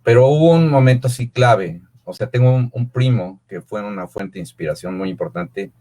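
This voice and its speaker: A man's voice: mid-range voice, moderate pace, mellow. A man in his fifties from Mexico City with a Mexican accent, a swim coach answering interview questions in a rambling way with many fillers. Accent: Mexican Spanish. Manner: rambling, filler-heavy storytelling.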